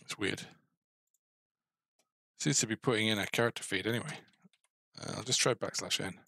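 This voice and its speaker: A man's voice: fairly low pitch, moderate pace, creaky, pushed and bright, no room sound. A middle-aged man giving casual, mildly grumbling, self-deprecating commentary as he codes a game, on a headset mic. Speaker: game developer.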